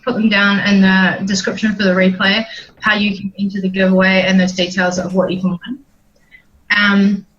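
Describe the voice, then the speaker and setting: A New Zealand woman hosts a laid-back livestream, rambling along with frequent ums and friendly asides. Her voice sits at an ordinary middle pitch, and the stream audio sounds dull and lo-fi. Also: fairly bright tone, a little hiss or hum. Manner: chatty, rambling with many ums. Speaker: host. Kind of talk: casual livestream chat.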